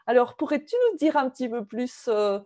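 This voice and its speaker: A woman's voice: fairly high pitch, fairly quick, darkish tone. A woman hosting a semi-formal interview, speaking in an effusive, appreciative way. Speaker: interview host and constellation trainer.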